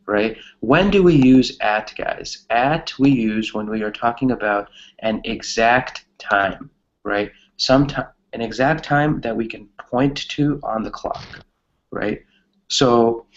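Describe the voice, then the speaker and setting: A man's voice: medium pitch, a little hiss or hum. Casting: man as online English teacher, chatty and encouraging, explains and checks understanding, mid-range voice, lo-fi sound. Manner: encouraging, often adds "right?" as a check. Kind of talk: conversational online grammar lesson.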